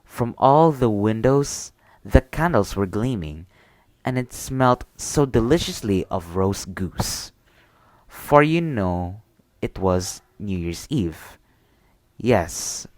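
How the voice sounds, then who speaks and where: A man speaking softly and intimately in a soothing ASMR bedtime style, sometimes dropping into a whisper. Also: fairly low pitch, no echo. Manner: soft, intimate, soothing delivery, partly whispered. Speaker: ASMR storyteller. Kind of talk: ASMR bedtime roleplay and storybook reading.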